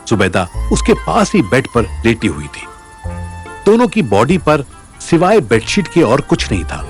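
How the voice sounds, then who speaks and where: Male story narrator with lively intonation. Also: medium pitch. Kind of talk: dramatic scripted story narration.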